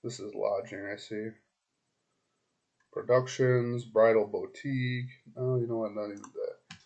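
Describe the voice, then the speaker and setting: A hoarse man giving a casual screen-recorded walkthrough as a web marketing consultant. He talks straight to his client, loose and chatty, thinking aloud with lots of ums and restarts. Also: lowish voice, steady tone, unhurried, a little mellow.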